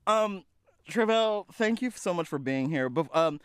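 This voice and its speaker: A podcast host chatting casually with a guest, giggly, with a high cackling laugh. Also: animated, measured pace, clear, resonant tone.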